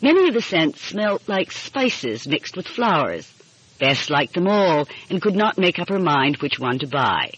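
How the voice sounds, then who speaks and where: A woman's voice: low voice, sing-song, measured pace, a bit bright, slightly rough. A woman reading a mystery story aloud as scripted audiobook narration. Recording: muffled, dull lo-fi audio.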